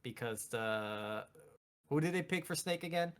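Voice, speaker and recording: A man co-hosting a casual chat, excitable and given to repeating his phrases for emphasis. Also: mid-range voice, unhurried, clear, resonant tone. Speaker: podcast co-host. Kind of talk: casual chat about video game movies.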